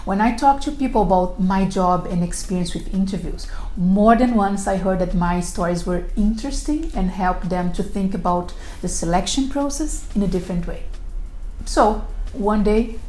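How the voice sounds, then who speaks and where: A middle-aged woman with a non-native English accent, presenting a prepared video introduction in careful, deliberate phrasing. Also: medium pitch, a little mellow, soft background music. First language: not English. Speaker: recruiter presenting a video series. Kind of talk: prepared self-introduction to camera. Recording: roomy echo, faint background noise.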